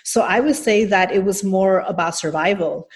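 A woman's voice: mid-range voice, normal speed. A woman from New York City speaks as an interview guest. She explains her points carefully and at length. Her phrasing is full of hedges and restarts. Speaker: nonprofit executive guest. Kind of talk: interview answers.

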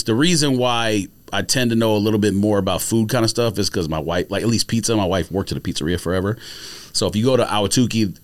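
Low-voiced man chatting on a podcast. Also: no echo. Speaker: podcast panelist. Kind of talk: casual food chat. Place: podcast studio.

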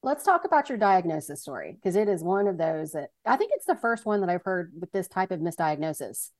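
A woman hosting a podcast interview, her voice slightly hoarse and crackly from illness, chatty and quick to laugh. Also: on the low side, very animated. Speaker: podcast host. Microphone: remote interview.

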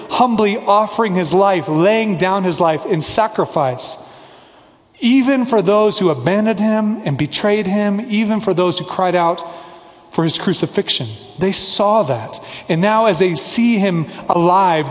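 A man's voice: fairly high pitch, in a large hall. A man preaching a sermon as pastor, earnest and conversational, with playful asides.

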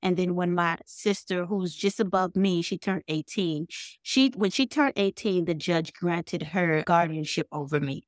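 Female interview guest from the Bahamas, lowish pitch, personal storytelling, dry sound. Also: moderate pace, no room sound. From Bahamas, moved to the United States at seven. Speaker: podcast guest, foster youth advocate.

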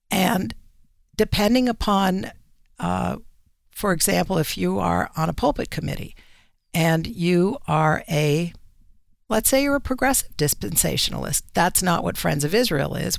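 A woman speaking as an editor and radio guest, explaining things conversationally in deliberate, measured phrases. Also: fairly low pitch, creaky, dry recording, studio quality.

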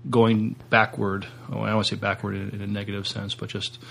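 A man co-hosting a podcast, speaking casually in a halting, tentative way. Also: lowish voice, little pitch movement, creaky, fairly bright tone, a little hiss or hum. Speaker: podcast co-host.

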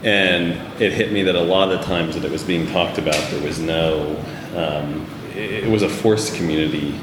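Deep-voiced man from texas, earnest, echoey hall, air conditioning hum, ambient noise. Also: normal speed. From Texas, USA. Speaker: artist, guest. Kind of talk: casual group discussion.

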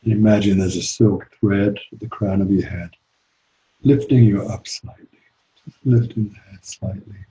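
A man leading a guided meditation as a teacher, speaking calmly and gently with long pauses. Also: on the low side, low-quality recording.

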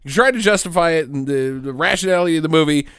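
A man in casual, profane conversation, recapping a movie with friends and acting out characters in quick jokey asides. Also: on the high side, fairly bright tone, smooth, no echo, clean studio sound.

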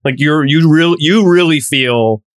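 A man's voice: a little high, unhurried, no room sound, studio quality. A man guesting on a casual panel podcast as a startup founder, blunt and emphatic, swearing freely.

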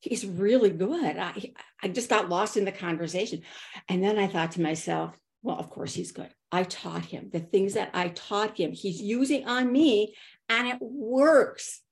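A middle-aged woman who is a guest on a podcast. She tells personal stories in an animated way and acts out her own quoted thoughts. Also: mid-range voice, a little slow, gravelly. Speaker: podcast guest, consultant and author. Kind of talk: personal storytelling in an interview.

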